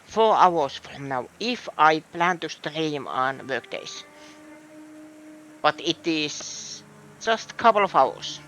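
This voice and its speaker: A man's voice: a little high, very animated, slow pace, fairly bright tone, slight background noise, poor audio. A man with a Finnish accent, thinking aloud in a hesitant way as he comments on a game. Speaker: streamer. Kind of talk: casual gameplay commentary.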